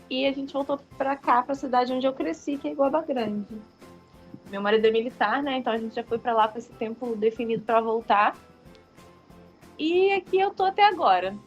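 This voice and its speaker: A woman's voice: sits high, moderate pace, faint music behind. Chatty Carioca woman, dull lo-fi sound. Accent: Carioca Brazilian Portuguese. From Rio de Janeiro, Brazil. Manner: rambling, many fillers and self-corrections. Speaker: guest writer. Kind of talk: casual interview chat.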